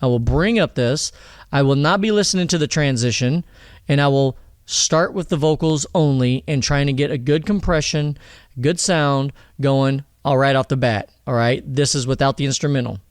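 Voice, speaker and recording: A man explaining vocal mixing in a relaxed, rambling tutorial, often checking in with "all right?" and "okay?". He has a thin voice and is talking in his home studio. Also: medium pitch, normal speed, clear, resonant tone, no room sound. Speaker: music producer teaching vocal mixing.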